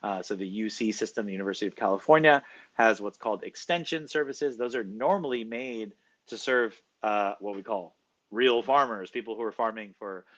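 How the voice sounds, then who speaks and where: A man answering interview questions as a professor, explaining at length in a measured way with frequent fillers. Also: lowish voice, a bit bright, lo-fi sound.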